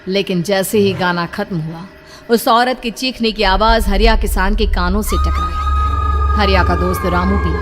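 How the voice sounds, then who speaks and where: A woman delivering scripted narration of a horror story. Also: medium pitch. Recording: clear music under the voice.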